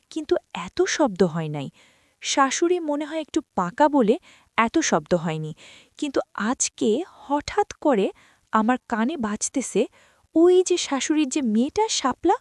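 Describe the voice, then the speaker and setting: Woman narrator, confiding storyteller who speaks to listeners as friends; animated, higher-pitched, dry recording. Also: unhurried, dry recording. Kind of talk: first-person story narration.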